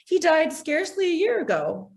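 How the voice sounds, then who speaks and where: Actress as a poised, worldly society widow. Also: female voice, very high voice, sing-song, normal speed, gravelly, full character voice. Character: self-possessed, worldly wealthy society widow. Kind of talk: stage comedy acting over video call. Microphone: video call.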